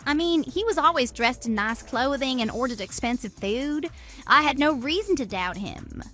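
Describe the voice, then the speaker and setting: A woman's voice: sits high, lively intonation, moderate pace, pushed and bright, faint music behind. A woman playing a greedy, haughty, easily shocked mistress in a scripted audio drama. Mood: shocked, incredulous. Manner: haughty, gloating, incredulous. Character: smug, greedy, gold-digging young woman, easily shocked.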